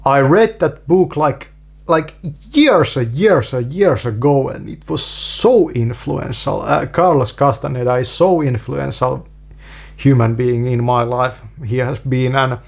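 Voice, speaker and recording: Slow, halting Finnish-accented man. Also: medium pitch, gravelly. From Finland. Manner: halting, many restarts and repeated fillers. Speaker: video creator. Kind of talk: casual monologue to camera.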